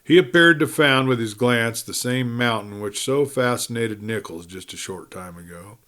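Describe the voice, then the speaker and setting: A man's voice: medium pitch, moderate pace, fairly bright tone. Folksy man narrating a western tale. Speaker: audiobook reader.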